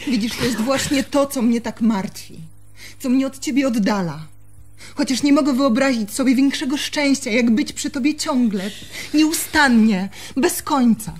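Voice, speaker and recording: A woman's voice: sits high, measured pace, faint music behind. An actress in a Polish radio play, playing an anxious, haunted young woman in love, with intimate, brooding delivery. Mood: brooding, yearning.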